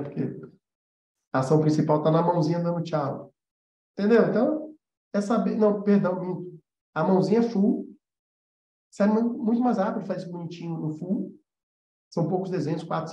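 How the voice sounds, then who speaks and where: A Brazilian man teaches in an informal, explanatory way, with a high-pitched yet soft-edged, mellow voice. He stresses his points by repeating them. The recording has a noticeable room echo. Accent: Brazilian Portuguese. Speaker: animation teacher and studio animator. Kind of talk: informal live-stream lesson. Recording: roomy echo, slightly dull sound.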